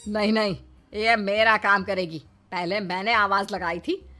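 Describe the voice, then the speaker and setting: A woman's voice: medium pitch, steady tone, unhurried, pushed and bright, soft background music. A woman voicing a possessive, bossy middle-aged mother-in-law in a cartoon, emphatic and melodramatic. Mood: indignant, possessive. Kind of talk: Hindi moral-story cartoon dubbing.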